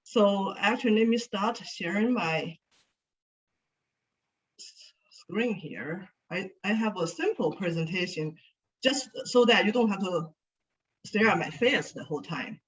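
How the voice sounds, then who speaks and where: A woman in her fifties, raised in Sichuan with Chinese as her first language, talks casually and chattily, full of fillers and restarts. Her voice is of middle pitch. It comes over a video call that sounds dull and set back from the mic. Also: expressive, a little slow, pauses often, far from the mic. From Sichuan, China. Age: 50s. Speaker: guest speaker. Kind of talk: casual talk with slides.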